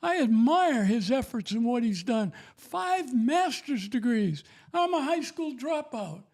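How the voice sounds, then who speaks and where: A man's voice: very high-pitched, sing-song, a little slow, darkish tone. An older man giving an emphatic, repetitive, preachy testimony about faith and raising children.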